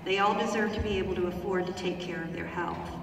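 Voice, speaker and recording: A woman's voice: fairly low pitch, steady tone, fast, gravelly, a little hiss or hum. Woman reading aloud in a big hall. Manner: careful reading with fillers. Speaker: town hall speaker reading a testimonial. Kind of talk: reading aloud a written testimonial. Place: large theater hall. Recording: echoey hall from far off.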